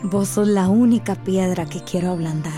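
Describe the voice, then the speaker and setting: A woman's voice: fairly low pitch, moderate pace, over music, lightly acted. An actress playing a bold, flirtatious woman in a radio soap, coaxing, with a Central American Spanish accent. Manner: coaxing, teasing. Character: bold, flirtatious woman openly pursuing her love. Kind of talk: radio soap opera acting.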